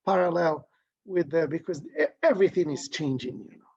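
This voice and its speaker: A man's voice: a little high, brisk, a little mellow, husky, dry recording. A middle-aged man from Morocco, a guest talking through ideas in a thoughtful, philosophical way with many restarts. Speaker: interview guest. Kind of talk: reflective conversational interview. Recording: dull, dry lo-fi sound.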